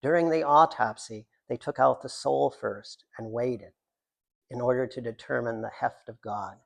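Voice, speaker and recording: Man with creaky voice reading his writing. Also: mid-range voice, moderate pace. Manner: rambling, self-deprecating, jokey in asides. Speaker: writer reading from own work. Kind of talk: live literary reading with chatty asides.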